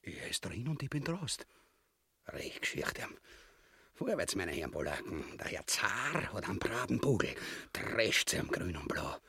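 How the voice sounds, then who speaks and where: An actor playing a boastful, cowardly petty tyrant in a grotesque radio comedy, blustering in a southern German-Austrian dialect. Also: male voice, deep voice, sing-song, moderate pace, pushed and bright, breathy edge, full character voice. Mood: cowardly muttering. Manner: pompous, blustering, mock-solemn. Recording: long reverberant hall sound.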